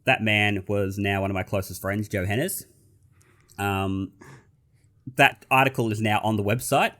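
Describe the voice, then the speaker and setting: Australian man, low voice, video call. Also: fairly even intonation, a little slow, bright, forceful tone. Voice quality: nasal. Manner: self-deprecating, chatty. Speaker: podcast guest. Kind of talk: casual chat.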